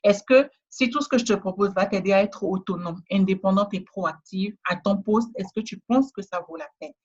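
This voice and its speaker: A woman trainer giving a persuasive, repetitive sales pitch in a webinar, speaking straight to the listener, with a West African French accent. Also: normal speed, breathy edge, muffled recording. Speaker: trainer selling a course.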